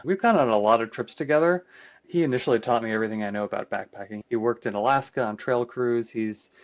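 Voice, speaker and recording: A man's voice: mid-range voice, fast. A man with a mid-range voice talks as a guest in an interview, explaining at length in a conversational way. His first language is Croatian. The recording is slightly muffled and lo-fi. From United States, Croatian immigrant family. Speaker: podcast guest, trip guide and composer.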